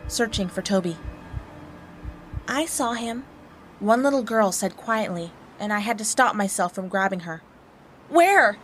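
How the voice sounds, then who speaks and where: A woman's voice: mid-range voice, a bit bright, a little hiss or hum, poor audio. A woman reading a scripted first-person horror story in a dramatic narrating style. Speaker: guest narrator of horror stories.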